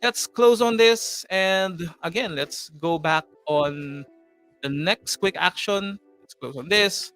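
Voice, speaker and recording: A man's voice: high-pitched, slow pace, fairly bright tone, smooth, soft background music. A man with a Filipino accent hosting a live screen-share tutorial, chatty and full of fillers, with joking asides to viewers. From Philippines. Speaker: host.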